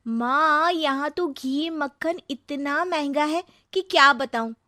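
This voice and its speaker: A woman's voice: high-pitched, takes it slowly, pushed and bright, no room sound, studio quality, pitched above the performer's own voice, heavily acted. A woman voicing a plaintive, self-centred young married daughter in a cartoon story. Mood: plaintive complaining. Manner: plaintive, complaining.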